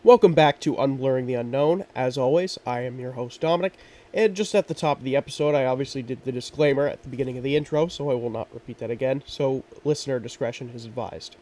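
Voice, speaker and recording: A man's voice: mid-range voice, fast, mellow, recorded from a distance. A man hosting a true crime podcast, sounding congested and stuffy from allergies, talking earnestly with frequent ums and restarts. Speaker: podcast host.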